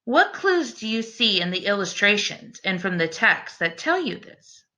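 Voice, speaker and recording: A woman teacher doing an expressive children's read-aloud, speaking in an encouraging way aimed at young listeners. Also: mid-range voice, normal speed. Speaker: teacher reading a picture book aloud.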